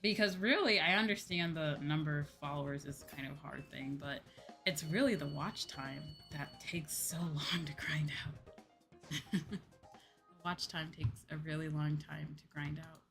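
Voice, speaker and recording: A woman with a distinctly low-pitched voice talks casually on a gaming livestream. Her delivery is informal and conversational, leaning on repeated words for emphasis. Music plays clearly behind her. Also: unhurried, a bit bright. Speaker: livestreamer, VTuber. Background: background music.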